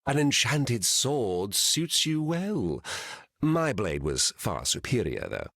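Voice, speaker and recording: An actor playing a solemn, grandiose legendary warrior in a video game, speaking formally and deliberately in a husky voice. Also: male voice, mid-range voice, wildly swooping pitch, a bit bright, no echo, studio quality, full character voice. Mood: solemn, proud.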